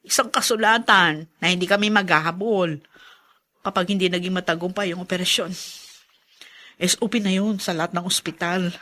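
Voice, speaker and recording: A woman's voice: on the low side, fairly even intonation, normal speed, bright, forceful tone. A woman playing a worn, worried mother in a radio drama.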